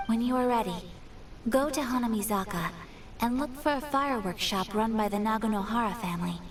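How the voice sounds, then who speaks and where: Casting: actress for a poised young clan noblewoman in a fantasy game; higher register, precise courteous delivery, a little off-mic. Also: far from the mic, natural voice. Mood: resolute, polite. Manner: formal, measured, precise diction. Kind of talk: scripted fantasy game voice acting.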